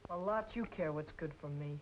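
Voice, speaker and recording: An actress playing a headstrong, defiant young working-class daughter in an old-time radio drama. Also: female voice, low voice, fairly quick, natural voice. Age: young adult. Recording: muffled noisy old radio recording.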